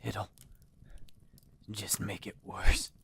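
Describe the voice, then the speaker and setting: Wounded, defiant laborer, slow and heavily rasping, bitter audio drama role. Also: male voice, fairly low pitch, level tone, sharp tone, whispered, full character voice. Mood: weary resentment. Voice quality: raspy, gravelly. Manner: sardonic, labored speech broken by coughs. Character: bitter, dying wounded dockworker, foul-mouthed and defiant.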